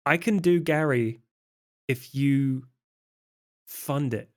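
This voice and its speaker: A man acts out a sly vampire wheeler-dealer for a roleplay podcast. His mid-range voice feels its way through slangy, wry sales patter, stopping and starting as he pitches. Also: takes it slowly, natural voice. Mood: sly, pitching. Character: sly, slangy male vampire hustler named Sean. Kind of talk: improvised tabletop roleplay.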